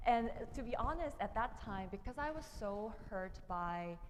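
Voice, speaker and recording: Panel speaker: woman from Korea, reflective and unhurried, with hesitant ums, miked in a hall with a long echo and a faint hiss. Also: fairly high pitch, lively intonation. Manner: thoughtful, with frequent ums and restarts. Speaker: panelist, ordained minister. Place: seminary hall with audience. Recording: hall reverb, faint noise.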